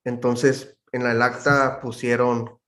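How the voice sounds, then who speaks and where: A man's voice: mid-range voice, flat delivery, slow pace, fairly bright tone. Northern mexican guy, slangy and laid-back, just chatting on a podcast. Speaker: podcast guest. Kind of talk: casual chat.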